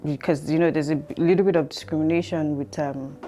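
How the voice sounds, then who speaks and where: A woman's voice: deep voice, fairly even intonation, quick pace, mellow, faint music behind. A Nigerian woman in her thirties, a guest telling her life story in a hesitant way, with many ums, in a studio.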